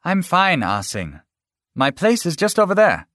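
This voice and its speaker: A man's voice: high voice, wildly swooping pitch, a little slow, a bit bright, no room sound, studio quality, voice pushed up, exaggerated voice. A man voicing a nervous young woman who stammers and hesitates, in an audiobook. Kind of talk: audiobook character voice.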